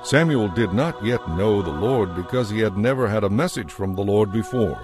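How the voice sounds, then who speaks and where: A man narrating a dramatized audio Bible as a scripted story, measured and solemn. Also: lowish voice, over music.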